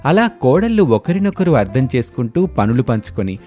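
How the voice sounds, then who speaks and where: A man's voice: medium pitch, sing-song, moderate pace, dark tone, soft background music. A man narrating a scripted moral story as a storyteller.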